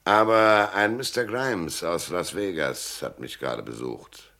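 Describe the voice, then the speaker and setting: Tough detective, deep and gravelly. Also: male voice, a little slow, sharp tone, heavily acted. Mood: resolute, cool. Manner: laconic, hardboiled, unflinching. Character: tough, stubborn, cool-headed male private detective. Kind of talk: German radio drama dialogue.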